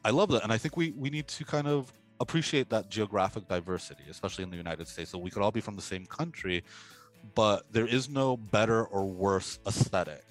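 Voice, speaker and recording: A man from Peru, raised in Miami, answering interview questions about food in an animated, expressive way. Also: fairly low pitch, a little fast, faint music behind, low-quality recording.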